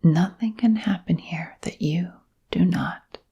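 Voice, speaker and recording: A woman hypnotherapist guiding listeners into sleep hypnosis in a soft, breathy whisper, with soothing phrasing and gentle pauses. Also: lowish voice, dull audio. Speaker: hypnotherapist and channel host.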